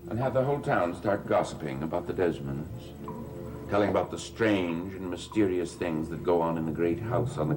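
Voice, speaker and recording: A man's voice: sits low, poor audio. A man playing a suave, sardonic schemer in a theatrical vintage gothic TV soap. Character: suave, menacing fortune-hunting man of a great house. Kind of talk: 1960s gothic soap opera acting.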